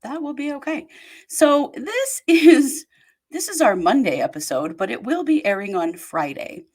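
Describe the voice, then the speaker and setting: A woman's voice: high voice, big pitch swings, unhurried, darkish tone. A woman hosting a podcast, talking in a chatty, rambling way and laughing easily. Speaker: host. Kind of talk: casual podcast chat.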